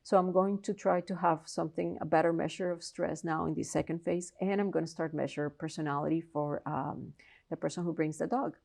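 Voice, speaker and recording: Colombian-accented woman with a gravelly edge. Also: deep voice, darkish tone. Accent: Colombian Spanish. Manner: earnest and explanatory, with frequent restarts. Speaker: professor and researcher, interview guest. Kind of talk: interview answers.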